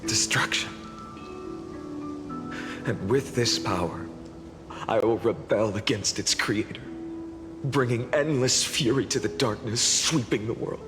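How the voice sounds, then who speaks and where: A man playing a solemn, resolute young warrior hero in a video game, speaking earnestly and wistfully in measured phrases with a breathy, gravelly edge. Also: mid-range voice, natural voice. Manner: measured, earnest, heavy with pauses. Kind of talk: dramatic video game voice acting. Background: music behind the voice.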